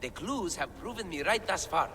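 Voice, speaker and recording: An eager scholar. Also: male voice, high-pitched, sing-song, moderate pace, bright, forceful tone, long reverb tail, a little hiss or hum, exaggerated voice. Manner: enthusiastic, scholarly, talks up his discoveries. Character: eager male scholar deciphering ancient writing. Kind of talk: game cutscene acting.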